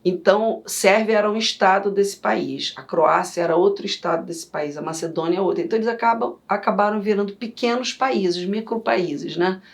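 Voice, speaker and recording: A middle-aged woman from Yugoslavia, chatting as an interview guest and telling stories in an animated way. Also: medium pitch, moderate pace, gravelly, few pauses. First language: Serbian. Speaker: guest, vocal coach. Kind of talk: casual interview chat.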